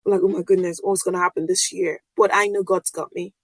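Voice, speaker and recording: A woman's voice: on the low side, little pitch movement, fairly quick, slightly rough, no room sound. A woman from Nigeria with a Nigerian accent, whose first language is Yoruba, hosting a casual solo podcast in a chatty, upbeat way with frequent laughs. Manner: chatty and upbeat, laughs often, lots of fillers. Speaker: podcast host.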